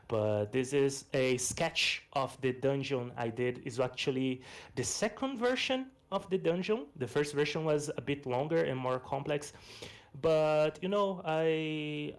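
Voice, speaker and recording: Chatty man, Portuguese first language. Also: medium pitch. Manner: chatty, peppers speech with "you know", laughs at himself. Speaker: game developer presenting his jam game. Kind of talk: casual post-mortem presentation. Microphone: voice chat microphone.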